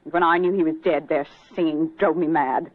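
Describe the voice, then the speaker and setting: A woman's voice: low-pitched, steady tone, a little fast, dry recording. On a dry, muffled vintage radio recording, a hard, defiant widow with murder in her. She is scornful and bitter, delivering her words with period melodrama. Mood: bitter, defensive. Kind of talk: 1940s radio melodrama acting. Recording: muffled, narrow-band 1940s radio recording.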